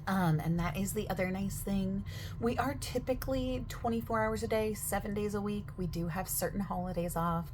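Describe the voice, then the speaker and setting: A young woman in a soft-spoken ASMR roleplay as a gym staff member, chatty and over-explaining with lots of fillers. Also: on the low side, slight background noise.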